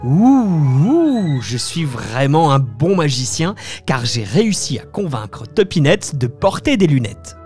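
A woman voicing a sly male owl who hoots and booms in a deep put-on voice for a children's storybook. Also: sing-song, slow pace, darkish tone, soft background music, full character voice. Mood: booming. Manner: hoots, booming and self-satisfied. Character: male owl optician, sly, booming, hooting. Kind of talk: storybook character voice.

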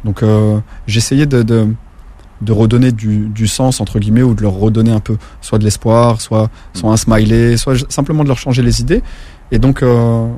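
Mellow-voiced man, chatty and rambling. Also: on the low side, fairly even intonation, normal speed. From France. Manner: rambling, restarts often, slangy. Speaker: guest, former taxi driver. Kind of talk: casual interview talk.

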